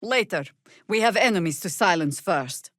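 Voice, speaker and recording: An actress playing a seasoned, sardonic older female druid warrior in a video game, with a wry, commanding, measured delivery. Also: lowish voice, lively intonation, dry recording, studio quality. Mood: solemn, earnest. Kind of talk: video game voice acting, dramatic dialogue and battle barks.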